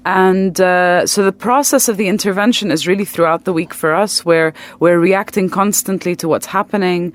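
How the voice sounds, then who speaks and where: A woman talking as an artist guest in a radio interview, explaining in a conversational way and pausing to find words. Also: lowish voice.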